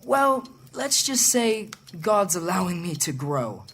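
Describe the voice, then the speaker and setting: A woman's voice: mid-range voice, very animated, slow pace, a bit bright. An actress playing a good-natured, earnest young boy in a family audio drama. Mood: sheepish, earnest.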